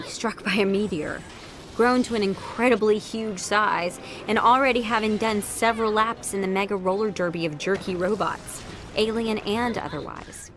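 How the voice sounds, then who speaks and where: A woman playing a down-to-earth young giant heroine in a video game, conversational and gently sardonic. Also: mid-range voice, background noise, natural voice. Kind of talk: animated video game voice acting.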